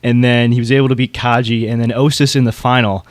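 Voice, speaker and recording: A man's voice: medium pitch, flat delivery, fast talker, no room sound. A man hosting a podcast in a casual, chatty style. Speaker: podcast host.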